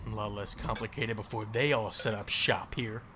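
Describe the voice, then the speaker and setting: A man's voice: on the low side, steady tone, normal speed, pushed and bright. Man acting a bitter, weary apocalypse survivor, faint noise. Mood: defensive. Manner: sarcastic, slangy, weary. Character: bitter, regretful male zombie-apocalypse survivor, gruff but caring. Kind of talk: audio drama acting, casual profane dialogue.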